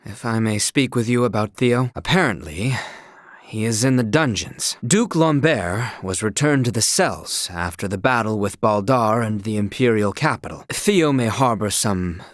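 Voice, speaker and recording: An actor playing an earnest, loyal young knight in a video game, speaking in formal, old-fashioned courtly phrasing. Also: male voice, on the low side, expressive, moderate pace, no echo, studio quality, close to an everyday voice. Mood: respectful, measured.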